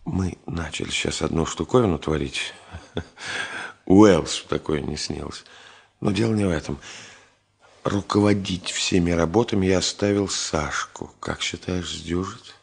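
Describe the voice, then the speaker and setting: An actor in a Russian radio drama playing a stubborn, ironic engineer with a husky voice and a dry, mock-polite manner. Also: male voice, deep voice, a little slow, heavily acted. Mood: quiet, confiding. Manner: dry irony, mock-polite, emphatic repetition. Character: stubborn, ironic ailing engineer, busy with unfinished work. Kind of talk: 1970 Soviet radio drama acting.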